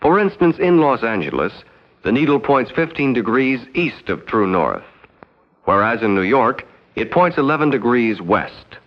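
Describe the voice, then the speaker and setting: An American man narrating a 1960s educational film in a formal, measured, no-nonsense way. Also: medium pitch, sing-song. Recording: dull 1960s 16mm film soundtrack.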